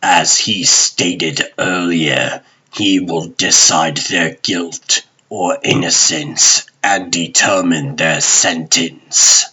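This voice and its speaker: A man voicing a grave, solemn guardian in a comic dub, husky, formal and measured. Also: sits low, steady tone, sharp tone, heavily acted, rough recording. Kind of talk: comic dub character acting.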